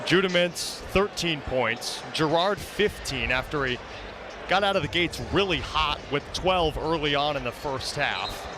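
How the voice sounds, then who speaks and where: A man's voice: a little high, expressive, measured pace. A young man calling live basketball play-by-play as an announcer. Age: young adult. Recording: loud arena crowd noise throughout. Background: roaring basketball crowd, bouncing ball.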